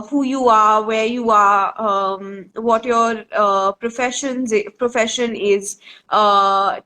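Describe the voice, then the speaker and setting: A woman hosting a live online interview over a video call, asking her questions in a polite, measured way. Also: a little high, bright, forceful tone. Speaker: host of a live online discussion. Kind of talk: casual live-stream interview.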